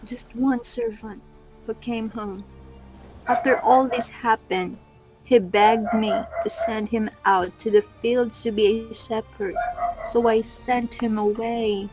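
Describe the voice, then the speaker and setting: A woman playing a reassuring queen and wife in a readers' theatre Greek tragedy, reciting her lines deliberately. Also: fairly high pitch, steady tone, darkish tone, faint music behind, poor audio. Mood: solemn recounting.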